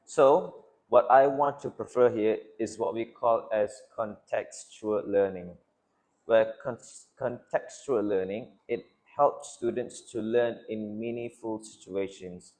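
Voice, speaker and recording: A young man speaks as a panelist in a fairly low voice. He talks fluently and formally, explaining points like a lecture. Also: slow, a little mellow. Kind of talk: student panel discussion.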